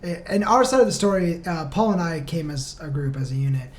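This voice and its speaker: A man's voice: a little high, expressive, fairly quick. A man, an interview guest, telling stories in casual, emphatic chat with plenty of fillers. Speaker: band vocalist, interview guest.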